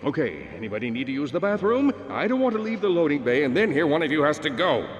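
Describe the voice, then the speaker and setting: A man's voice: a little high, very animated, fast talker, lo-fi sound. A man playing a pompous, bumbling alien captain in a video game, boastful and mock-heroic. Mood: self-important. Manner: self-important, mock-heroic. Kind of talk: video game cutscene acting.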